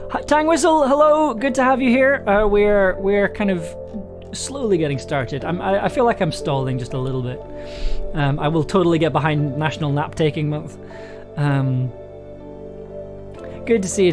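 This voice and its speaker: A live streamer and audio drama writer chatting casually with viewers and reading writing prompts aloud, full of fillers and restarts and quick to laugh. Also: medium pitch. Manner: hesitant, many fillers and restarts, laughs easily.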